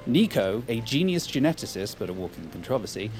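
A self-important male futurist, articulate and competitive. His mid-range voice is dry in wit yet moves a lot in pitch, over faint music in an audio drama. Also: very animated, fairly quick, a little mellow. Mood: explanatory, wry.

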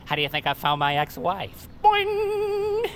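An actor playing a teasing, cynical joker ghost who drawls out jokey taunts. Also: male voice, sits high, wildly swooping pitch, a bit bright, slight background noise, exaggerated voice. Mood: teasing, ominous. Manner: drawn-out jokey taunts, cackles at own gags.

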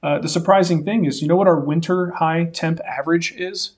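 A man in his forties co-hosting a live woodworking Q&A, giving practical advice in a relaxed, folksy way. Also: on the high side, fairly quick. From Denver area. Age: 40s. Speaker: woodworking show co-host. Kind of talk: live-stream Q&A, casual chat.

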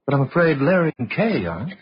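An actor playing a sardonic host who narrates supernatural tales on old-time radio. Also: male voice, a little high, big pitch swings, fast. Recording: muffled, noisy old radio recording.

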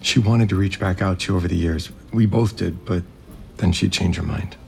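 Deep-voiced, gravelly grieving widower. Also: male voice, moderate pace, a little mellow, slight background noise. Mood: strained, mournful. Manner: hesitant, apologetic, placating. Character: grieving resort heir, evasive and overwhelmed widower. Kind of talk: naturalistic audio drama acting.

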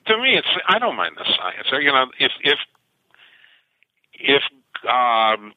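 A man's voice: mid-range voice, huge pitch swings, slow, no room sound. An older man, a science fiction author being interviewed, telling stories animatedly with frequent restarts. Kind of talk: interview over the phone. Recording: tinny phone line, dry.

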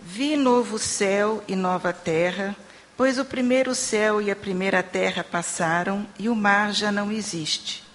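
Woman interpreter in a reverberant church hall. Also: mid-range voice. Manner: steady, measured reading of scripture. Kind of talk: consecutive interpreting and scripture reading. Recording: long hall reverb with faint room noise.

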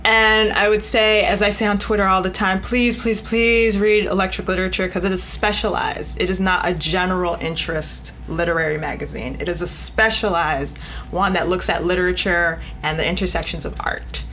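A woman's voice: on the low side, steady tone, moderate pace, a bit bright, a little hiss or hum. A woman speaking frankly and emphatically as an editor being interviewed, joking and acting out examples as she explains. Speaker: magazine editor, podcast guest. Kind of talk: animated panel interview talk.

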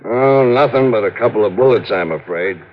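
An American actor playing a young, cooperative police sergeant in a 1950s radio drama, plain-spoken and colloquial. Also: male voice, on the low side, animated, fairly quick. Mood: businesslike. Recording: dull 1950s radio recording.

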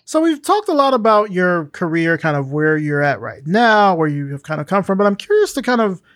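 A man's voice: high-pitched, big pitch swings, a little fast, a bit bright, no echo, clean studio sound. A man hosting an interview podcast, asking friendly questions and giving short replies. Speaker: podcast host.

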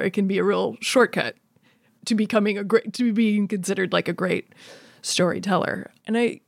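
A woman's voice: mid-range voice, vocal fry, dry recording, clean studio sound. A woman in a casual, conversational discussion, explaining her thoughts.

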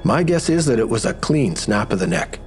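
An actor playing a wry, matter-of-fact medical examiner who explains with morbid humor in an audio drama. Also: male voice, fairly low pitch, sing-song, fairly quick, darkish tone, faint music behind.

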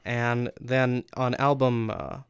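A man's voice: medium pitch, flat delivery, slow pace, smooth, dry recording, clean studio sound. A young man, a guest writer, recounting his work in a casual, informal way.

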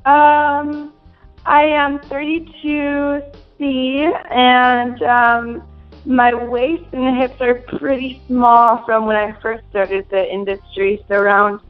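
A twenty-year-old woman from Minnesota answers questions at an unhurried, halting pace, full of fillers and little giggles. The recording is dull and muffled, with faint music playing behind her. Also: sits high, little pitch movement. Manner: hesitant, many fillers, giggly. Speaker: interview guest. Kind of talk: casual interview answers.

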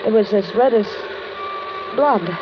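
A woman's voice: mid-range voice, a little slow, lightly acted. An actress playing a polite, trusting young American carhop in a 1940s radio suspense play, hesitant and confiding as she grows uneasy. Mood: uneasy recounting. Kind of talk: 1940s radio suspense acting, narrated in first person. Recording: crackly, muffled 1940s radio recording.

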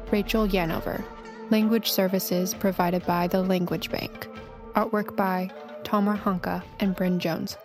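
A woman announcer giving a scripted reading of closing credits. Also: mid-range voice. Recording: music under the voice.

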